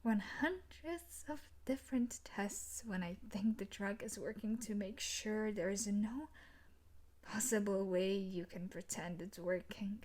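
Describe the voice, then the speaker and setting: A woman playing an obsessive, possessive young scientist in an audio roleplay, with breathy, coaxing threats and pleading. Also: medium pitch, unhurried, darkish tone, lightly acted. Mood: quietly menacing. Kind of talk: audio roleplay acting addressed to the listener.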